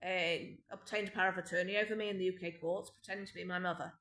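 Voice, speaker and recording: Bright, aggrieved British woman in echoey room. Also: fairly low pitch, fairly even intonation, fast talker. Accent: British English. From Britain. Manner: rambling, aggrieved, with frequent restarts and ums. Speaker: vlogger. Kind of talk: unscripted personal monologue. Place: home indoors.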